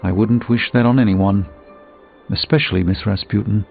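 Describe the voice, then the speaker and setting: A man's voice: on the low side, fairly even intonation, moderate pace, creaky. An actor playing a pompous, refined middle-aged male sorcerer in a video game, speaking formally with dry wit. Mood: disdainful. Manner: pompous, formal, dryly wry, theatrical. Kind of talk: scripted video game dialogue. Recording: thin phone-like band, music behind.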